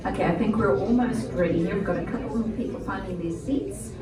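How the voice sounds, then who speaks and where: A woman's voice: mid-range voice, a little fast, a loud crowd. Woman hosting an event. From South Africa. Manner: chatty, self-joking. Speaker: event host. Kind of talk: welcoming speech to an audience. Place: office event room. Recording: roomy, distant, noisy room.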